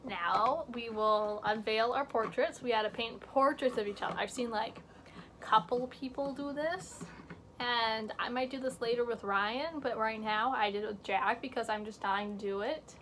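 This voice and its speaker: A high-pitched teenage girl chats and jokes through her home vlog, dropping to hushed whispers as she holds things up to the camera. She is recorded close on a built-in computer mic, with faint background noise. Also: normal speed. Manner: chatty, joking, self-mocking asides. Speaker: vlog host. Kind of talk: casual chat. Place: indoor home room with shelves.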